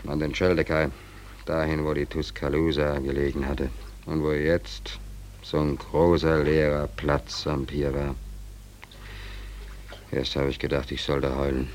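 A man's voice: very deep voice, flat delivery, unhurried, pauses often, darkish tone, slight background noise. A man acting in a 1940s German radio play as a stranded young sailor, telling his misfortune in rambling, colloquial, wry phrases. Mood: wry, reflective. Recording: old 1940s radio recording.

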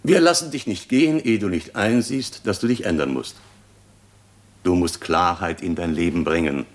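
A man's voice: low voice, normal speed, natural voice. An actor playing a stern, moralizing male friend in a 1950s German radio drama, emphatic and admonishing. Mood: reproachful, urgent.

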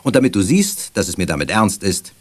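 A man playing a grumbling but soft-hearted middle-aged headmaster father in a children's audio drama. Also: low-pitched, big pitch swings, fairly quick, a little hiss or hum. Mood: grudging. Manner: mock-exasperated, teasing.

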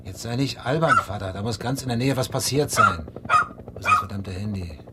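An actor playing a gruff, dry police detective, brusque and authoritative, in a radio drama. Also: male voice, lowish voice, fairly quick, heavy background noise, lightly acted. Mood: impatient. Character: gruff, dry, commanding male police detective, middle-aged son. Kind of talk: German radio drama acting.

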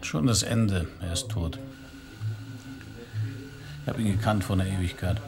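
An actor playing a hard-boiled, middle-aged private detective in a radio crime play, dry, laconic and slangy. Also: male voice, sits low, measured pace, vocal fry, over music. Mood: dry, subdued. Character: hard-boiled, sardonic male Parisian private detective narrator. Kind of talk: noir radio play narration and dialogue. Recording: radio play, some background noise.

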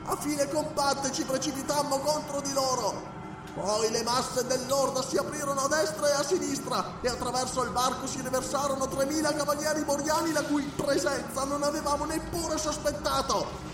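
A man's voice: very high-pitched, fairly even intonation, normal speed, voice pushed up. A man voicing a wounded, panicked male priest crying out through a crystal orb, in a frantic wailing manner. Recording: echoey and distant, loud music behind.

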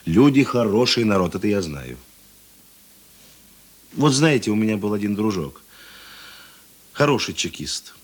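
A man acting a middle-aged, thoughtful and kind police investigator in a Russian radio play, husky and measured. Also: fairly low pitch, sing-song. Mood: earnest, thoughtful. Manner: measured, self-deprecating, reflective.

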